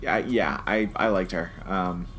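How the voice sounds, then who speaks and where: A man's voice: deep voice, unhurried, fairly bright tone, slight background noise. A man co-hosting a podcast, giving his opinions in a casual, conversational review chat. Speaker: podcast co-host.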